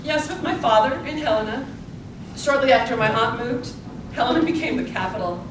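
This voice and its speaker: A woman's voice: fairly high pitch, animated, a little fast, close to an everyday voice. A woman playing an eighty-six-year-old Montana suffragist in a live theatre piece, grateful and principled, speaking with earnest deliberation. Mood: reminiscing, grateful. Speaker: actor in a theatre presentation. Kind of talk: theatrical interview and monologue, live stage performance. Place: indoor presentation space at a public library. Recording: echoey, distant, noisy library event recording.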